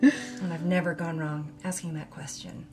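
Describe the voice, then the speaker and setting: An actress playing an earnest, admiring young granddaughter in an audio drama, speaking sincerely and a little haltingly. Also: female voice, sits low, level tone, over music, natural voice. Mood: moved, grateful. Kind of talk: audio drama acting, conversational.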